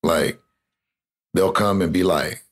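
A man's voice: low-pitched, slow. A young man from South Texas, a guest in a casual interview, rambling with lots of "like" fillers and swearing.

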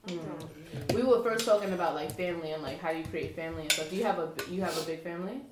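A woman's voice: fairly low pitch, fast talker. Laughing woman from Brooklyn, roomy sound. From Brooklyn, New York. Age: late 20s. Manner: laughing often, lots of fillers. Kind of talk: casual group chat. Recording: roomy, distant mic, faint noise.

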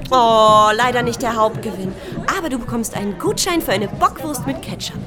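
An actress playing a friendly fortune-wheel hostess at a village festival in an audio drama. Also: female voice, fairly high pitch, moderate pace, over music, lightly acted. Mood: bubbly, encouraging. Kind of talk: German audio drama acting. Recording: clear crowd noise behind.

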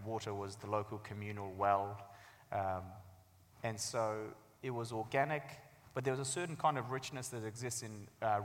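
Low-voiced New man in echoey hall. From Afghanistan, later New Zealand. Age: 30s. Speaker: author, guest speaker. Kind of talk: public talk about own life. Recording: echoey hall with long reverb.

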